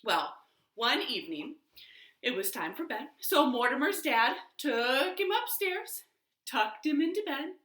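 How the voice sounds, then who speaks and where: A woman telling a children's story in an animated, expressive way with dramatic pauses, as a library storyteller. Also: high voice. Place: living room. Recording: roomy, echoey home recording.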